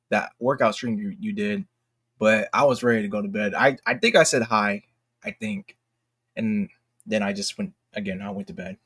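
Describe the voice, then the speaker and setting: A man hosting a casual livestream, speaking in informal slang with a self-deprecating, jokey manner and often repeating himself as he goes. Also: on the low side. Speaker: livestream host.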